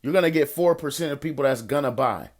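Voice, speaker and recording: A man's voice: on the high side, fast talker. An American man hosting an informal coaching call, answering listener questions in colloquial street slang, encouraging and repeating phrases for emphasis. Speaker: host of a financial coaching call, investor and entrepreneur.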